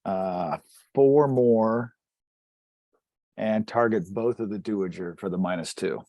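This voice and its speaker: A man's voice: on the low side, slow pace, dark tone, no room sound, highs cut off. A man chatting casually as a player in a tabletop roleplaying game.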